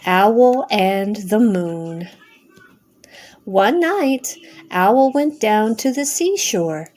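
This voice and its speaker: A middle-aged or older woman, a grandmother, narrating a children's storybook aloud with simple, expressive phrasing. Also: medium pitch, extremely slow. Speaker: grandmother reading a bedtime story.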